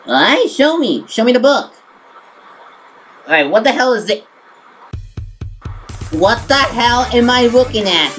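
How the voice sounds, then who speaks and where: A woman plays an excitable cartoon sea sponge who grumbles dramatically. The pitch swings widely over a slow pace, with game music playing behind. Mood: wary. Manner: dramatic, complaining, exclaiming. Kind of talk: amateur character skit for a storytime video.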